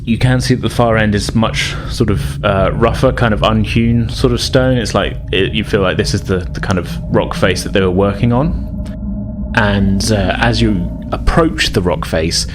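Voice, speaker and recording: A man's voice: lowish voice, moderate pace, music in the background. A man narrating a tabletop fantasy game as game master, conversational and hesitant, rephrasing his descriptions as he goes.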